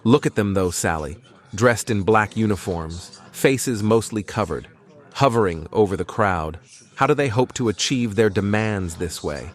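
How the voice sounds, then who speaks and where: Man playing low-voiced, stubborn priest captain. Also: expressive. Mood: quietly analytical. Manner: terse, firm, deliberate. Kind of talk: fan audio drama acting.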